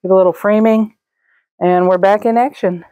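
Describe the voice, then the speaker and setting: A young woman casually narrating a walkthrough of a camper she is fixing up, relaxed and chatty with frequent ums. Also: mid-range voice, moderate pace, narrow, tinny audio. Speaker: vlog host. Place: inside a gutted camper trailer.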